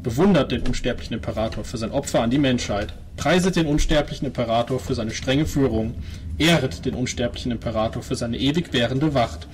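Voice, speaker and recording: A man's voice: mid-range voice, a little mellow, lightly acted. A man playing a devout preacher in a tabletop game, reciting a solemn, repetitive litany. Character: devout preacher chanting prayers to a god-emperor. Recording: music playing behind the voice.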